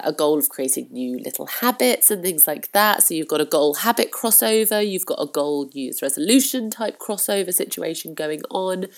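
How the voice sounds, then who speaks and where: A woman hosting a solo podcast in a chatty, encouraging way, with a blocked nose from a cold and frequent fillers. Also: low-pitched. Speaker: podcast host. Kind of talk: casual solo podcast talk.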